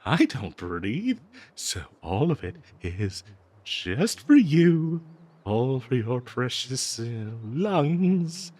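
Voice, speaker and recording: A man voicing a possessive, bloodthirsty, lovesick talking cursed dagger in an audio roleplay, speaking to the listener in a theatrical, doting yet menacing manner with halting hesitations. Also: medium pitch, sing-song, darkish tone, breathy edge, dry recording, heavily acted. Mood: indulgent, condescending.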